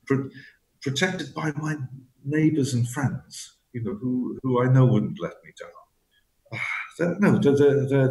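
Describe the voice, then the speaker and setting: Halting, soft-spoken man in an echoey room. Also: on the low side. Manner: halting, repeats words, searching for phrasing. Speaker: author guest. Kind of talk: reflective interview talk.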